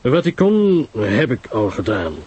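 A man playing a courteous, grave foreign detective in an audio drama, speaking in a formal, measured way. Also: mid-range voice, big pitch swings, darkish tone, husky, highs cut off, full character voice. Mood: grave warning.

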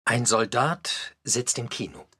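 An actor playing a documentary narrator in a radio play, telling history in a deliberate, articulate way. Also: male voice, medium pitch, loud music behind the voice, close to an everyday voice. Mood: matter-of-fact. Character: male documentary narrator recounting postwar Munich history.

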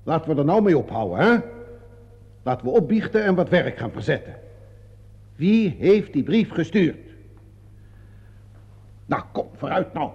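A man's voice: fairly high pitch, very animated, measured pace, long pauses, breathy edge, full character voice. An actor playing a commanding, exasperated theatre man in a radio play, brusque and authoritative. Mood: exasperated, firm. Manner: brusque, authoritative, takes charge. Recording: dull, muffled old radio play recording.

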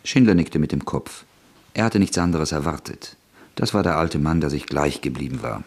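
A man narrating a radio drama in a husky voice, in a steady scripted style. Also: rumbling low, normal speed.

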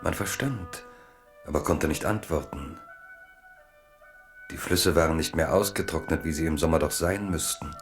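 Storyteller for radio drama: extremely low voice, reflective literary delivery over music. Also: male voice. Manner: measured, contemplative. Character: reflective storyteller of a historical tale. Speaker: narrator. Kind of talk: scripted literary narration in a German radio play.

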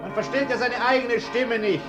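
An actor playing a fussy, nervous well-off householder who gives bossy orders in a German radio play. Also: male voice, very high voice, steady tone, normal speed, dull audio, over music. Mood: fussy, agitated.

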